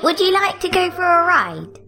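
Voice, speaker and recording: A woman's voice: very high voice, big pitch swings, moderate pace, vocal fry, voice pushed up, exaggerated voice. A woman voicing an uncanny little girl with an upper-class English accent, prim, pompous and teasing. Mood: sweetly eerie. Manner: pompous, teasing, sing-song. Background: music.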